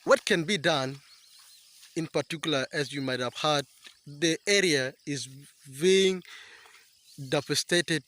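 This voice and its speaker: A man's voice: fairly high pitch, takes it slowly, pauses often, a bit bright. A man hosting an outdoor TV interview by a river, addressing viewers directly in a presenter's way. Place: outdoors by a river in rural bushland.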